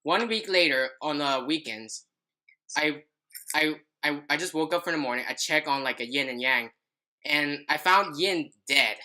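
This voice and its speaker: A woman's voice: low-pitched, moderate pace, sharp tone. A young adult woman telling a personal story in casual podcast chat, rambling and often stumbling over her words.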